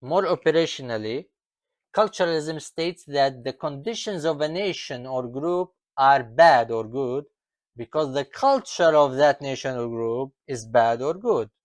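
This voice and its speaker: An author whose first language is Arabic, presenting his book in a measured, explanatory talk in English, ticking off lists slowly with falling endings. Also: mid-range voice, animated, no echo. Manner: deliberate, lists items slowly with falling ends.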